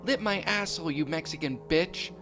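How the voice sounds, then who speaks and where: Brief: man putting on a tiny cartoon brat, squeaky and high, sharp-toned. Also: normal speed, soft background music, natural voice. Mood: defiant. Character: small foul-mouthed little boy, defiant.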